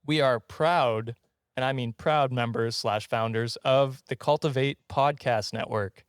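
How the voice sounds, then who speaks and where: A man hosting a casual comedy podcast in a jokey, slightly halting way. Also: medium pitch, heavy background noise. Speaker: podcast host.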